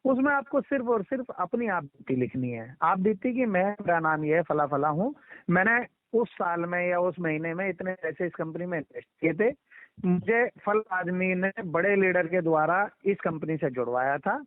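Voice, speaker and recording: High-pitched man on a phone call. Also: animated, normal speed, dry recording. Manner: insistent, talks over others, repeats instructions. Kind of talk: explanatory phone conversation. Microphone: telephone line. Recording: muffled band-limited phone call recording.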